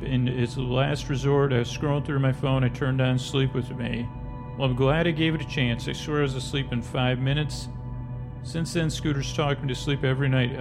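A man with a gravelly voice rambling haltingly as a podcast host, reading out listener reviews. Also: medium pitch. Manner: meandering, halting delivery. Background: music.

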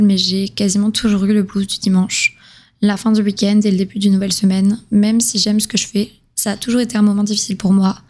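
A woman's voice: mid-range voice, a little mellow. A woman in her twenties from southern France, hosting a solo podcast in a casual, confiding way with slangy chat. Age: 20s. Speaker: podcast host.

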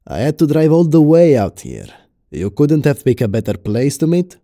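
An actor playing a menacing, taunting gangster boss who sprinkles in Spanish words, in a crime audio drama. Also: male voice, a little high, very animated, moderate pace, dark tone, dry recording, clean studio sound.

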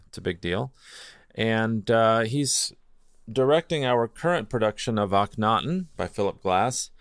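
Gravelly low-voiced male podcast host. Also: no room sound, studio quality. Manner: rambling, halting with many fillers. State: feeling a little low. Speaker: opera chorus singer. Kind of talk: casual solo intro and interview.

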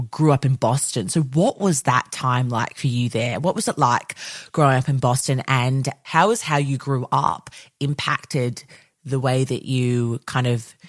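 Podcast host, enthusiastic, affirming, mid pitch, dry recording. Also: no echo. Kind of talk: casual interview.

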